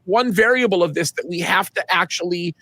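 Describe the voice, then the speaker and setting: Middle-aged man, pastor guest, slightly high voice, dry close sound, earnest, emphatic restarts. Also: a little fast, pushed and bright, no echo. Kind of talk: conversational interview answers.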